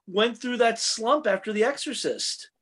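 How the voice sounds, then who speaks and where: A man co-hosting a podcast, talking casually about screen entertainment in a rambling, halting way that often circles back on itself. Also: very high voice, animated, a bit bright, breathy edge. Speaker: podcast co-host. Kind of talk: casual panel chat about TV and film.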